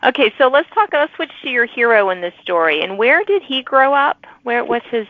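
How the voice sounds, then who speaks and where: A woman's voice: fairly high pitch, very animated, normal speed, no echo. Chatty woman host with narrow, phone-like sound. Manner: chatty, encouraging. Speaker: podcast host, interviewer. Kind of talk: conversational interview.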